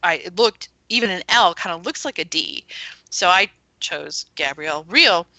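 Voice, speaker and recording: Female guest, lowish bright forward voice, conversational storyteller explaining at ease, close dry sound. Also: expressive, unhurried, dry recording, low-quality recording. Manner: explanatory, anecdotal. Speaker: guest, founder of an internet radio station. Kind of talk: casual interview chat.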